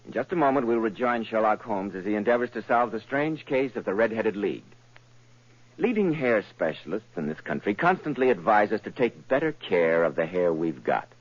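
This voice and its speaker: A man's voice: fairly low pitch, very animated, normal speed, breathy edge, soft background music. A man working as a radio announcer, giving a polished, emphatic sales read in a 1940s style. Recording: muffled old radio recording.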